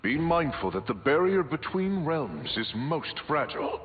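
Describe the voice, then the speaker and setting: Stately thunder god, animated pitch. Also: male voice, normal speed, phone-quality sound, slight background noise. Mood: stately warmth. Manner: formal, stately. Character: noble thunder god, stately old comrade. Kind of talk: video game dialogue.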